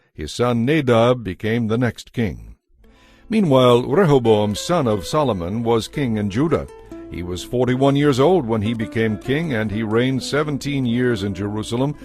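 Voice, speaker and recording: A man's voice: medium pitch, a little mellow. Male Bible narrator over music. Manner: measured, formal storytelling. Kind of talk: scripted narration of dramatized Bible.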